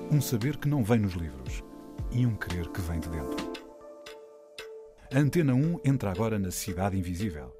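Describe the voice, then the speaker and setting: Brief: male radio narrator, low and lively delivery, loud music under the voice. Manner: measured, lyrical.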